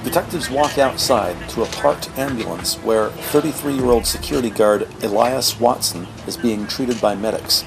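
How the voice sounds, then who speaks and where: A man as a factual crime drama narrator, reading scripted scene descriptions in a measured way. His mid-pitched voice rides over prominent music. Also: gravelly, lightly acted, low-quality recording.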